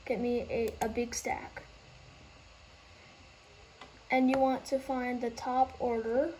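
A woman explaining a game trading method in a casual, halting way, losing her train of thought and starting over. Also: fairly high pitch, flat delivery, a little mellow, slight background noise, rough recording. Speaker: gaming tutorial host.

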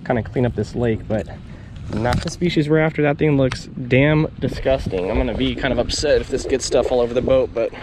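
A man's voice: medium pitch, a little fast. A young man casually narrating a fishing trip from a small boat on a lake, talking in a laid-back way. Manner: laid-back, says "dude" and "bro". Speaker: fishing vlogger. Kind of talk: casual on-the-water commentary. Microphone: action camera on the boat. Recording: loud wind and boat noise outdoors.

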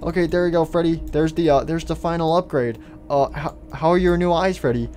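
A man play-acting an excitable, resourceful little boy who mutters hesitantly and bursts into exclamations, in an improvised story. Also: on the high side, fairly quick, close to an everyday voice. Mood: casual.

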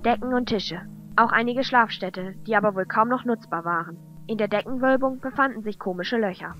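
A woman narrator reading a scripted fantasy story. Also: fairly high pitch. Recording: dull lo-fi track with music. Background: music.

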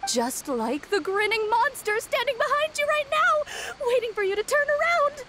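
A woman's voice: very high voice, sing-song, normal speed, pushed and bright, a little hiss or hum, exaggerated voice. A woman voicing a mysterious shrine maiden with a theatrical, coolly taunting and sinister manner in an anime video game. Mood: menacing, teasing.

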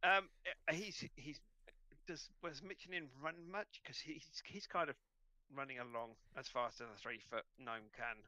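A man narrating an online tabletop game as game master in a hesitant, halting way. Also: medium pitch, fairly even intonation, bright, forceful tone, dry recording.

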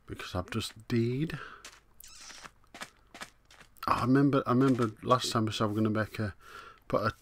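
A man with a hoarse, coughing voice, casually muttering his way through a video game as he plays. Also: mid-range voice, fairly bright tone, slight background noise. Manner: muttering to himself, easygoing, frequent "right". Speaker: let's play host. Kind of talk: casual gameplay commentary.

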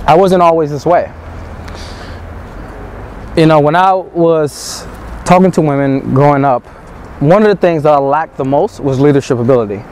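A young man who coaches dating, talking in a low, measured way, sometimes slowing right down. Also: a little mellow. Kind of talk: street pickup talk and to-camera advice. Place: busy city square outdoors. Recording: street noise and traffic behind.

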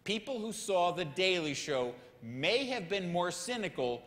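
A man in his sixties presenting research findings from a lectern on a large stage, in a measured, clear explanatory manner. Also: sits high, sing-song, a bit bright. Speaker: research center director giving a talk. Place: large stage in a dark auditorium. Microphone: lectern microphones. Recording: hall reverb from a big auditorium.

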